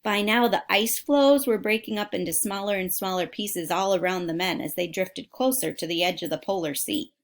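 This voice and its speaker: Lady teacher doing a read-aloud for kids, mid pitch, puts drama into it, talks right to the listeners. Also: moderate pace, hardly any pauses. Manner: dramatic emphasis, addresses listeners directly. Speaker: teacher reading a book aloud to students. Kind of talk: expressive read-aloud of a children's nonfiction story with asides. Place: at home.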